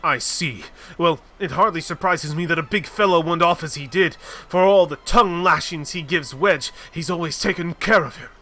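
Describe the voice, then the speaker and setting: A man voicing a steady, earnest middle-aged male leader in a measured reading. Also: on the high side.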